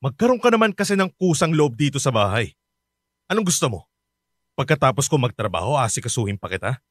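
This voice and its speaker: A man's voice: mid-range voice, sing-song, a little fast, no room sound, studio quality. A man acting as a hardworking father in a radio drama, narrating his troubles and arguing with his wife, earnest and frustrated. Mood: scolding. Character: hardworking father, weary and bitter.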